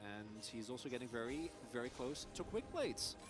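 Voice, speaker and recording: A man from the Netherlands, a native Dutch speaker, commentating live on an esports match with animated, wry banter. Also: mid-range voice, moderate pace, breathy edge. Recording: music behind the voice. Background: music.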